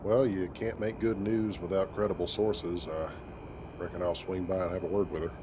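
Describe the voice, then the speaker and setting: A man roleplaying a steady, dry-humored spaceship captain over video game play. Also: deep voice, moderate pace. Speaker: gamer voicing his player character. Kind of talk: in-character roleplay over gameplay. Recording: clearly noisy, game ambience behind.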